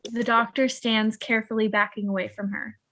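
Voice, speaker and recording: A woman's voice: on the high side, little pitch movement, fairly quick, a bit bright. A woman narrating stage directions in an amateur online table read. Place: online video call.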